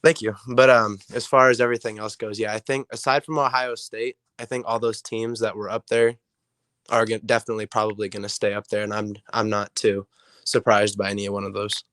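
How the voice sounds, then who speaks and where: A hoarse young man with a raspy voice, a player answering interview questions in a rambling way with many ums. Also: on the low side, flat delivery, brisk, a bit bright, no echo. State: hoarse from illness. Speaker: player guest.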